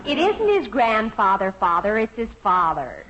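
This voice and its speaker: A woman's voice: medium pitch, huge pitch swings, a little slow, a bit bright, dull audio, exaggerated voice. A woman playing an exasperated, earnest and theatrical teenage daughter in a 1950s radio sitcom. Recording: vintage radio recording, faint noise.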